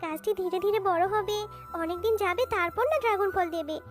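A gentle, loving mother animal from a children's cartoon, voiced by a woman. She speaks soothingly and fondly in a very high voice, with music behind her. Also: fairly quick. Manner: soothing, affectionate. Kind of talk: cartoon voice acting.

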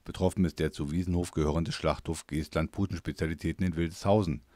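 Really deep male voice reading a news report, dry close sound. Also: steady tone, quick pace, warm tone, no room sound. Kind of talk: scripted news voice-over.

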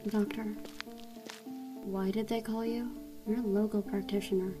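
A woman's voice: mid-range voice, flat delivery, moderate pace, close to an everyday voice. Woman acting blunt young assistant, music behind. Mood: blunt, questioning. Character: blunt, skeptical young female assistant to a doctor. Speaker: voice actor in a comic fan dub.

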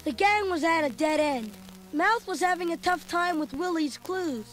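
A woman narrating a children's read-along story record in a clear storytelling manner, with music behind. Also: very high-pitched, lively intonation, moderate pace.

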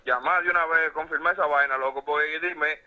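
A man's voice: a little high, steady tone, brisk, dull audio. A man with a Dominican accent talking casually over a phone speaker, slangy and repeating himself. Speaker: caller being pranked. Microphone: phone held to studio microphone.

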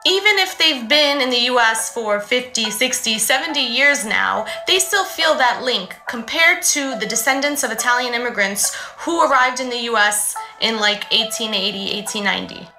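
A young woman from New City, explaining to her phone camera in a casual, animated way with conversational asides. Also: a little high, moderate pace, few pauses, roomy sound, soft background music, low-quality recording. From New York City, USA. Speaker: vlogger. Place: room at home, indoors.

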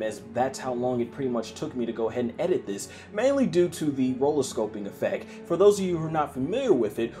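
A man's voice: a little high, a little mellow. A man in his twenties hosting a project update vlog as an independent filmmaker, speaking to camera with an emphatic, showy presenter delivery. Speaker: independent filmmaker and video channel host. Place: small indoor room at home. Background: music.

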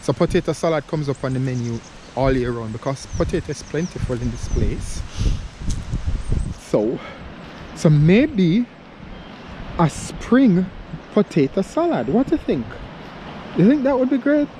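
A man's voice: a little high, big pitch swings, measured pace, long pauses, mellow, poor audio. A man in his thirties, filming a foraging vlog with a handheld camera in a wooded glen, musing aloud in a chatty way. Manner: chatty, muses aloud, laughs at own ideas. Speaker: foraging vlogger. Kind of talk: casual outdoor vlog. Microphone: action camera held at arm's length. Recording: outdoor camera audio with wind and leaf noise. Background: rustling leaves and outdoor ambience.

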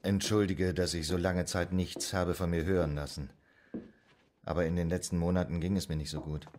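An actor in a German radio drama playing an earnest, troubled male psychoanalyst in 1920s Vienna, speaking in a measured way. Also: deep voice, steady tone, darkish tone, a little hiss or hum, lightly acted.

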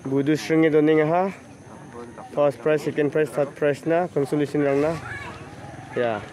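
A man's voice: a little high, fairly even intonation, a little slow, a crowd in the background, low-quality recording. A man filming a casual walking vlog on his phone at a rural outdoor fair, chatting and greeting people as he goes. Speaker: vlogger. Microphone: handheld phone camera.

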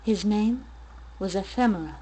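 A gentle, motherly guardian of children, played by a woman. She speaks softly and with care, wistful and a little sorrowful, as in a story-driven game. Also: medium pitch, a little hiss or hum, close to an everyday voice, poor audio. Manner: soft, measured, sorrowful.

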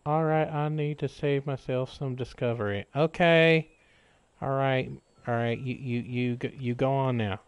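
A man's voice: medium pitch, steady tone, unhurried, clear, resonant tone, no echo, highs cut off. A man doing casual live gameplay commentary, exclaiming, swearing and muttering jokey remarks to himself. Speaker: video game live streamer.

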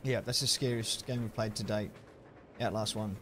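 A man in his thirties with an Australian accent, chatting casually with viewers while streaming a game on a headset mic, relaxed and chatty with sudden loud outbursts. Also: on the low side, moderate pace, background noise. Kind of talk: casual live-stream chat while gaming.

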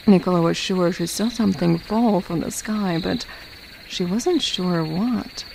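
A woman's voice: fairly low pitch, unhurried, creaky, darkish tone, a little hiss or hum, low-quality recording. A woman narrating a scripted story for an audio drama.

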